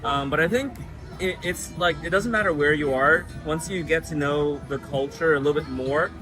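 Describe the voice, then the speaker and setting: A man from the United States, a guest chatting casually in an interview, relaxed and full of fillers. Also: fairly high pitch, normal speed, music in the background. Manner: relaxed, frequent fillers and laughs.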